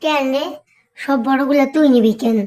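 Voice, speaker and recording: A woman's voice: high-pitched, normal speed, darkish tone, close to an everyday voice. A woman voicing an eager, complaining little village girl in a cartoon, whiny and easily aggrieved. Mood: indignant. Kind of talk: cartoon voice acting.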